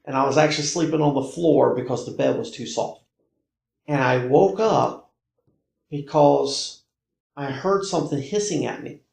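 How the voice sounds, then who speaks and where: Gravelly American man, halting storyteller. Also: mid-range voice, a little mellow. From North Carolina, United States. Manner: halting, emphatic, repeats short phrases. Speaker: podcast guest recounting personal paranormal experiences. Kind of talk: casual conversational storytelling. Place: indoor room, in-person conversation. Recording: roomy, echoey indoor recording.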